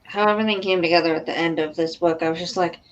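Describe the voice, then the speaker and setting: A woman's voice: lowish voice, steady tone, fast. Woman chatting over dull, lo-fi audio. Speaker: book discussion participant. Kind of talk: casual book club chat. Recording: dull lo-fi audio, background noise.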